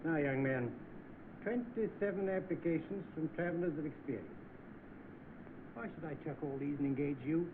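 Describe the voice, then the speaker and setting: Male actor as office boss, businesslike, slightly high pitch, 1930s film acting, noisy old soundtrack. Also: moderate pace, long pauses, phone-quality sound, lightly acted.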